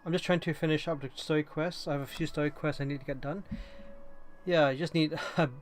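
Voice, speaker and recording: A man's voice: on the high side, steady tone, fairly quick. A man chatting casually and commenting as he live streams a game, rambling a little and repeating phrases. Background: faint noise, keyboard typing.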